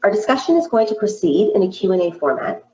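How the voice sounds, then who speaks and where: A woman's voice: mid-range voice, sing-song, warm tone, highs cut off. A woman moderating a virtual panel discussion, introducing speakers and posing prepared questions in an orderly way. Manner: measured, scripted, orderly.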